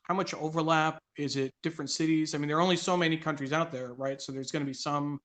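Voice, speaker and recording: A man hosting a casual interview show, conversational and halting as he asks questions and reads things out. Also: a little high, steady tone, fairly bright tone. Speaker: guest host of a live read-along show. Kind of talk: casual interview, reading a list aloud with filler pauses.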